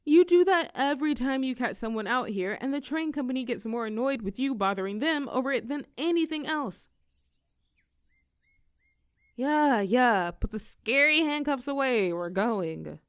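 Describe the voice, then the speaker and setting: A woman's voice: sits high, animated, moderate pace, clear, resonant tone, no echo, clean studio sound. A young woman playing a rebellious teenage girl bully in an audio roleplay, with a sarcastic, teasing, brash manner. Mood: sarcastic defiance. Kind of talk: scripted roleplay audio drama.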